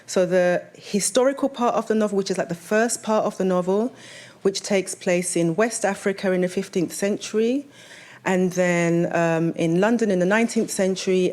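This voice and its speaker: A woman's voice: lowish voice, moderate pace, darkish tone. A woman novelist talking about her own work as a panelist, in a conversational way that draws the audience in with questions.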